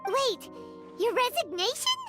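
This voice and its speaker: A woman voicing a small, chatty, childlike fairy companion with a squeaky voice in a video game. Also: measured pace, over music, heavily acted. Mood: inquisitive. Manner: refers to self in third person, expressive and hesitant.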